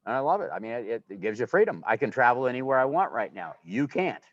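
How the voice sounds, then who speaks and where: A man's voice: mid-range voice, very animated, quick pace, no echo. A man in his sixties, talking casually and enthusiastically as a guest, rambling from one point to the next. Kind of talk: casual conversation. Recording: dull, muffled livestream audio.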